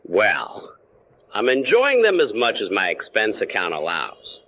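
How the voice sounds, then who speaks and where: An actor playing a fussy, excitable, penny-pinching insurance man in an old-time radio drama. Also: fairly low pitch, measured pace, exaggerated voice. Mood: fretful, droll. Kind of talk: old-time radio comic character acting. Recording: dull lo-fi with faint noise.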